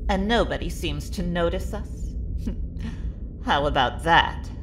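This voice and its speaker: A woman's voice: fairly low pitch, animated, takes it slowly, soft background music. A woman playing a wary, sardonic former villain turned ally, dry and guarded, in a sci-fi audio drama. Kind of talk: fan audio drama acting.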